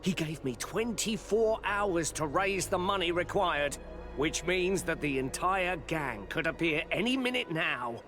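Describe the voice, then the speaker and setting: An actor playing a frightened, deferential merchant in a video game, hesitant and earnest. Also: male voice, a little high, animated, measured pace, over music. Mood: grateful. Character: frightened, deferential male shopkeeper, earnest and grateful.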